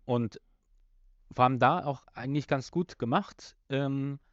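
A man's voice: mid-range voice, a little slow, no echo. Guy chatting on a podcast, kinda searching for words, dry sound, bit lo-fi. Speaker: podcast host. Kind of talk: casual discussion of an audio drama episode. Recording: slightly dull, lo-fi sound.

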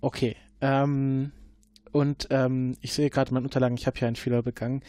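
Man, tabletop player, halting questions, lots of ums. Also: mid-range voice, level tone, mellow, no room sound. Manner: hesitant, many fillers, interrogating. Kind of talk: playing an investigator in a tabletop game.

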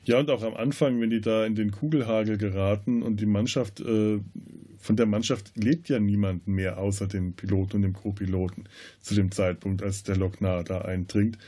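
Male podcast host, low pitch, husky tone, casual film talk, halting phrasing. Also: fairly even intonation, dark tone. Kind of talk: casual film discussion with scene retelling.

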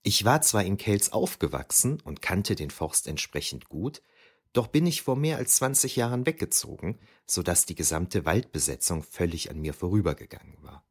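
A man's voice: low-pitched, sing-song, moderate pace, slightly rough, full character voice. A man playing a reflective middle-aged historian, narrating his memoir in a scripted German audio drama.

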